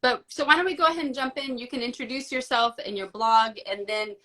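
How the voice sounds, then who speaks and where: Bright-voiced woman hosting an interview. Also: fairly high pitch, a little fast. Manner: welcoming, guides the talk. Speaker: host. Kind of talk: live video interview. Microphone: video call.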